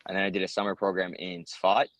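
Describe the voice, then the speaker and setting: A man's voice: sits low, level tone, quick pace, a bit bright, dry recording. A young American man answering interview questions in an earnest, rambling way, with many ums and uhs. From United States. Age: young adult. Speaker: interviewee, soldier.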